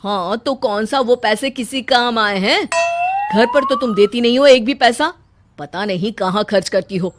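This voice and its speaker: A woman's voice: on the high side, moderate pace, background noise, lightly acted. Lady doing an irritated husband in a cartoon tale, accusing and naggy. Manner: nagging, accusing. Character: irritated husband arguing, reproachful adult man.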